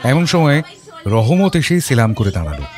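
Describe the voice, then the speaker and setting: An actor playing a reflective father who narrates his memories in a measured, literary and expressive audio story style. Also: mid-range voice, close to an everyday voice. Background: background music.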